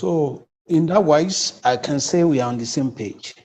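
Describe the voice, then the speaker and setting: A man taking part in an online workshop, answering the trainer hesitantly with many pauses and filler sounds. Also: on the high side, a little mellow, breathy edge. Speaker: workshop participant. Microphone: computer mic on a video call.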